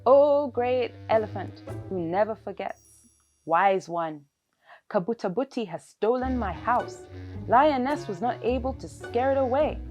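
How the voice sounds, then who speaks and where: Woman as a pleading little rabbit, swinging pitch. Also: highs cut off, faint music behind. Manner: drawn-out flattering pleading. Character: small pleading male rabbit, flattering, distressed.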